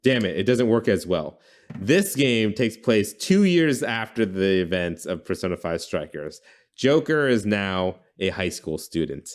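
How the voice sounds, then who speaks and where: A man's voice: lowish voice, sing-song, a little slow. A man hosting a casual brainstorming chat, informal and often correcting himself as he thinks aloud. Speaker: podcast host pitching game ideas.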